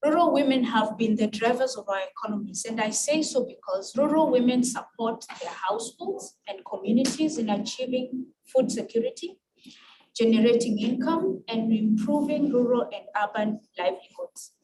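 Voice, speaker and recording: A woman with a Kenyan accent and Luo as her first language gives a formal, measured prepared speech with emphatic phrasing. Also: on the high side, lively intonation. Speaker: guest speaker at an international women's event. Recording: distant mic with clear background noise.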